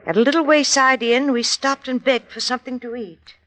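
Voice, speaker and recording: A woman's voice: sits high, steady tone, brisk, a bit bright, no room sound. An actress playing an earnest, middle-aged widow telling her wartime story in a 1940s radio drama. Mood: earnest recounting. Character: widowed settled American lady recounting wartime adventure, earnest, cautious.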